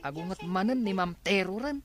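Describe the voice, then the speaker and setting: A woman's voice: mid-range voice, lively intonation, brisk, crowd noise, lightly acted. A woman playing a cheeky, gossipy student who grumbles about a teacher in an Ilocano radio comedy drama.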